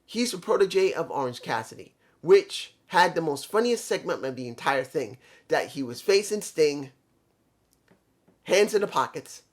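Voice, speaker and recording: A man's voice: a little high, animated, measured pace. A man giving a casual solo review of a wrestling show, rambling and correcting himself as he stumbles over names.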